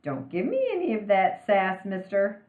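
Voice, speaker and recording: A woman reads as a talkative, bossy storybook mom. She is brisk, bantering and insistent. The sound is echoey and band-limited. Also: medium pitch, huge pitch swings, phone-quality sound. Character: talkative, bossy mother scolding her young son. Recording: roomy, band-limited home recording.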